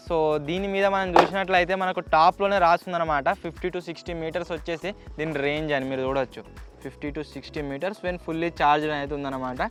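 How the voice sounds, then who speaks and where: High-voiced, quick-talking Telugu man. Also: a bit bright. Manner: chatty, explanatory, sprinkles English words into speech. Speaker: YouTube host. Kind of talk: casual unboxing and testing vlog.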